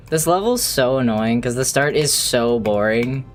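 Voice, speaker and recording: A man's voice: mid-range voice, expressive, takes it slowly. A young man chatting casually on a live stream while playing, rambling, joking and swearing freely.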